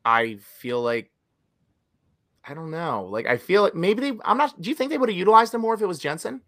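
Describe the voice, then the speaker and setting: Podcast co-host, male, raspy and recovering, bright tone, animated casual chat. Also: high-pitched, fairly quick. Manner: animated, chatty, many restarts.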